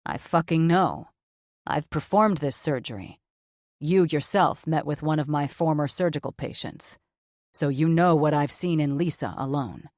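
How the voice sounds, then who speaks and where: A woman voicing a blunt, cocky male surgeon who swears freely and speaks in a flat, matter-of-fact way. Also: sits low, unhurried, no echo, studio quality, voice pushed down.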